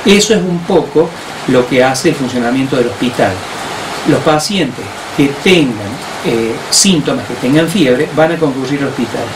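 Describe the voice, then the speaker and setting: A man with an Argentine accent, a hospital director who explains health measures on the radio in a measured way, with frequent hesitations. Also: mid-range voice, expressive. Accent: Argentine Spanish. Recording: roomy distant mic with faint noise.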